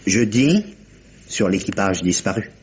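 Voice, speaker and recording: An actor playing a laconic, dutiful police inspector in an old French radio mystery, speaking in deliberate, clipped phrases. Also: male voice, on the low side, animated, breathy edge, exaggerated voice. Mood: dogged, literal. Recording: muffled, lightly hissy old radio recording.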